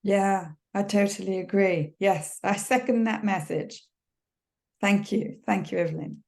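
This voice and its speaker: A woman's voice: medium pitch, unhurried, vocal fry, a little mellow. A woman hosting a podcast, from Quebec and a native French speaker, speaking upbeat and straight to her listeners. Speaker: podcast host. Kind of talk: podcast interview and promotional outro.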